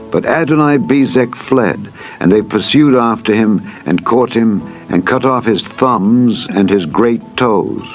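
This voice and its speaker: Male Bible narrator, lowish pitch, solemn and formal, muffled recording over music. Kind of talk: dramatized scripture reading.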